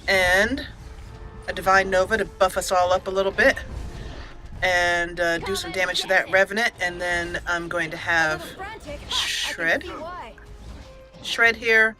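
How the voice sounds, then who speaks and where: A cheerful woman commentating her own game playthrough, chatty and upbeat, now and then reading out the game's text as a story. Her voice is bright and forceful, with faint game music playing softly behind her. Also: fairly low pitch, steady tone, unhurried, pauses often. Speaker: let's play host. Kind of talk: casual gameplay commentary with roleplay narration.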